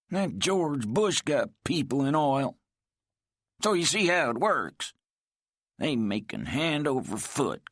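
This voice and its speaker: A man voicing a bitter, paranoid old laid-off miner who rants in a gruff, folksy drawl. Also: fairly high pitch, fairly bright tone, dry recording, studio quality, pitched above the performer's own voice. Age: older. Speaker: story character. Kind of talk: audiobook character voice.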